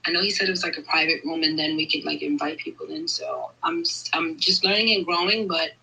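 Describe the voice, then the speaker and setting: A woman from Brooklyn, calling in to share her personal testimony, eager and halting. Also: low-pitched, sharp tone, slight background noise, poor audio. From Brooklyn, New York. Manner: eager, halting, many fillers. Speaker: guest caller.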